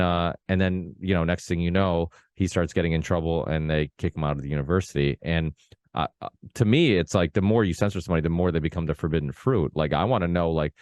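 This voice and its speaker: Low-voiced guy from portugal, podcast host rambling along, dry sound. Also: darkish tone, dry recording. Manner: rambling, many fillers and restarts. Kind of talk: casual conversational chat.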